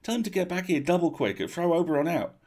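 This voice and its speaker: Man as Spindle, a high-pitched blunt kid. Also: quick pace. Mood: urgent. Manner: blunt, slangy, excitable. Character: blunt, urgent street kid named Spindle.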